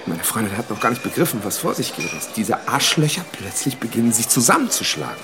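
A man's voice: mid-range voice, close to an everyday voice. An actor playing a young man who bluntly retells a brutal story about his girlfriend, in coarse words. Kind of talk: radio drama monologue.

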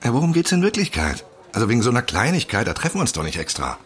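An actor in a radio drama playing a brash, cynical friend who gives blunt, sweary, mocking advice. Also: male voice, mid-range voice, huge pitch swings, rapid-fire. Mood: probing. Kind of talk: naturalistic radio drama acting.

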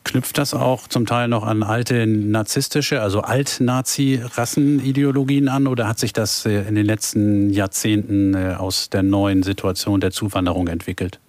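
A man hosting a radio call-in program, asking questions in a measured way with frequent fillers. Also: on the low side, steady tone, darkish tone, no room sound. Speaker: radio host.

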